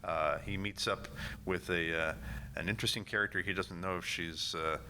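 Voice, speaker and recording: A man presenting informally on a panel, with a hesitant, halting way of talking. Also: low voice, steady tone, sharp tone. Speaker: panel presenter, audio drama producer. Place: convention panel room, indoors. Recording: noisy convention panel room.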